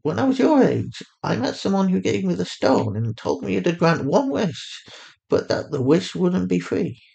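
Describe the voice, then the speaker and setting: A man acts out a tender mother of a teenage girl in an audio story. The voice sits well above a man's usual talk, soothing and loving, with a gentle sorrow. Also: high voice, creaky, pitched above the performer's own voice, heavily acted, rough recording.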